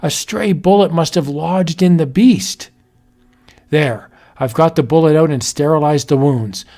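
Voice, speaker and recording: A man's voice: fairly high pitch, a little slow, mellow, faint music behind. A man voicing a rugged, skeptical hero who turns brave in a read-aloud horror story. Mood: matter-of-fact.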